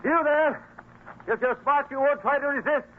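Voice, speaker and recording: A man's voice: very high-pitched, normal speed. A man acting a hesitant, earnest middle-aged father in an old-time radio drama. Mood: alarmed, shouting. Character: troubled father with a gambling weakness, excitable yet merciful. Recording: tinny, noisy old radio recording.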